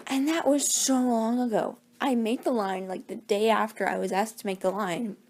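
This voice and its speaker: A woman's voice: a little high, steady tone, normal speed, a little mellow. A woman chatting casually in a rambling, self-deprecating vlog, her voice a little groggy. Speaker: hobby voice actor, channel owner.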